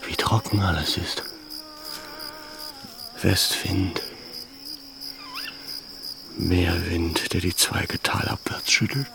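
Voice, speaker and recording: An actor playing a resolute, commanding leader of a besieged mountain camp in a German radio play, speaking deliberately. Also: male voice, sits low, fairly even intonation, heavily acted. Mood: brooding. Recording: clear cricket noise behind.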